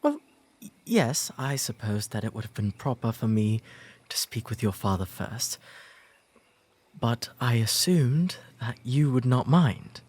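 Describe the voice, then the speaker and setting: A man with an English accent playing a courteous, shy, bookish 19th century gentleman in a romantic audio drama, speaking formally and gently. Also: medium pitch, sing-song, a little slow, breathy edge. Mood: earnest, reassuring. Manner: formal, polite old-fashioned diction with hesitant, gentle delivery.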